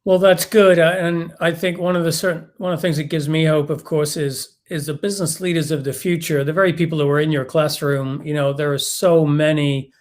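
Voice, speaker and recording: A man's voice: on the high side, fairly even intonation, fairly quick, hardly any pauses. A man hosting an event conversationally, introducing guests and putting questions to them, often restarting his phrases.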